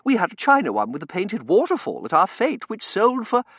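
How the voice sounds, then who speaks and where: A man's voice: high-pitched, wildly swooping pitch, a little fast, a bit bright, husky, no room sound, voice pushed up, full character voice. A man voicing a chatty, managing society matron in an audiobook, fluting and self-assured. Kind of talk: audiobook character voice.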